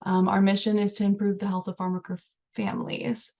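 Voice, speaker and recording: A woman's voice: medium pitch, flat delivery, brisk, mellow. A woman research coordinator opening a webinar, reading an introduction from notes with "um" fillers, on a computer mic. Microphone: computer mic on a video call.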